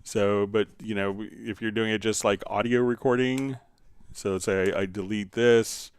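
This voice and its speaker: A man hosting a casual live software tutorial, thinking aloud informally as he demonstrates. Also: fairly low pitch, fairly even intonation, normal speed, clean tone, no room sound. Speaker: livestream host, software instructor.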